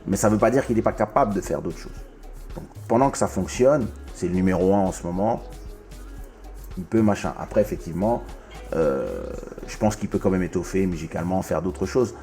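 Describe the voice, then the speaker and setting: Low-voiced talkative male host. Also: animated, fairly quick, warm tone, soft background music. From Congo. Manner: talkative, stumbles and restarts often. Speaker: podcast host. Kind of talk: casual chat.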